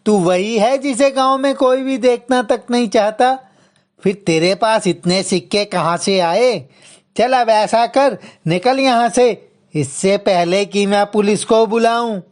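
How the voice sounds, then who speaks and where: Woman playing a slow-spoken village goldsmith man. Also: mid-range voice, a little mellow, pitched above the performer's own voice, natural voice. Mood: mocking, threatening. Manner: contemptuous, scolding. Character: angry, haughty male village goldsmith, later humbled. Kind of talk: dramatized children's story dialogue.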